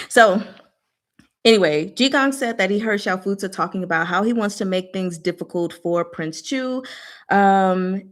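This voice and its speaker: A woman hosting an informal book discussion, talking in a casual, blunt, slangy way and often interrupting herself to address her listeners. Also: on the low side. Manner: scattered, self-interrupting, talks to herself and audience. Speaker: host of a book discussion session. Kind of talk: informal live book discussion, recapping plot.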